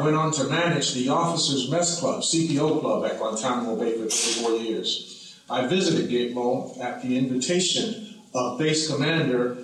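A man born in Cuba giving measured, earnest testimony at a hearing, with occasional hesitations. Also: medium pitch, a bit bright. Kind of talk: formal prepared testimony. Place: hearing room. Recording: echoey room, voice from far off mic.

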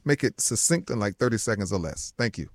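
Low-voiced male radio host, dry sound. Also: expressive, brisk, dry recording. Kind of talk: live talk radio hosting.

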